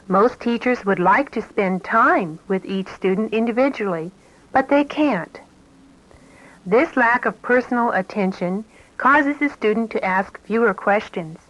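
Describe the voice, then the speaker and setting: A woman reading scripted instructional narration in a measured, explanatory manner on an old 1970s cassette tape. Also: medium pitch, dull audio. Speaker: narrator of an educational program tape.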